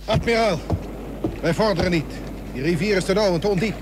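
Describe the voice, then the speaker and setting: A man playing an alarmed naval officer in a historical radio drama, urgent and hurried. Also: high-pitched, lightly acted. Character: alarmed naval officer bringing bad reports. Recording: noisy radio recording with boat-like ambience.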